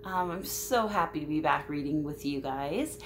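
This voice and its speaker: A woman reading a picture book aloud to children in an expressive way, chatting to young listeners as she goes. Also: deep voice, moderate pace, noisy background. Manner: expressive, addressing young listeners with simple questions. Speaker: children's storybook reader and host.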